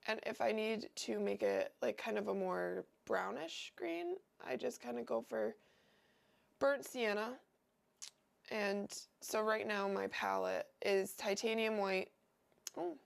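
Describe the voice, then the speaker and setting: This is a young woman who is sick and sounds nasal, with a heavy gravelly fry running through her voice. She talks through her painting in a loose, meandering way, full of ums and restarts. Her pitch sits right in the middle. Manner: meandering, thinks aloud with many fillers. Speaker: livestream host painting. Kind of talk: casual painting commentary.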